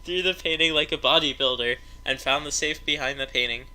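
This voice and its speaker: A man's voice: a little high, brisk, bright, forceful tone, rough recording. A man narrating amateur fan fiction aloud in a mock-dramatic storytelling manner. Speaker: narrator of a story read-along.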